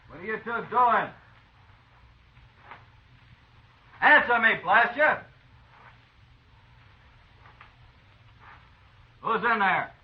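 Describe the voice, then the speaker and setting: High-voiced, bossy Western outlaw. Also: male voice, lively intonation, moderate pace. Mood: alarmed, angry. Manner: barking, bossy. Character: bossy, suspicious Old West outlaw villain. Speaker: actor playing villain. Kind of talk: old-time radio western acting. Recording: muffled, noisy old radio recording.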